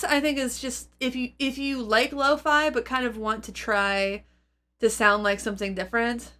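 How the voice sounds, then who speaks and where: A woman's voice: a little high, bright, forceful tone. A woman giving a casual, unscripted review to viewers, speaking in an informal, rambling way and often interrupting herself. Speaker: host of a guitar effects pedal review channel.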